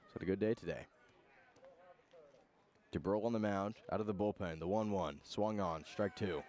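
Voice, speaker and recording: A man doing live radio play-by-play of a baseball game in clipped phrases, with pauses between calls. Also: deep voice, darkish tone. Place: outdoor ballpark broadcast.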